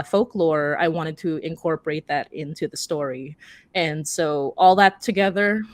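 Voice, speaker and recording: A woman's voice: lowish voice, dry recording. A young woman speaking casually as a panelist, explaining things with little acted-out asides. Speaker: podcast creator and panelist.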